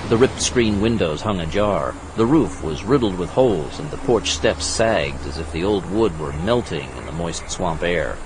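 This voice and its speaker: A man's voice: deep voice, expressive, moderate pace, hardly any pauses, background noise. A man reading scripted, dramatic narration for an action thriller audiobook. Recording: loud vehicle and outdoor effects behind.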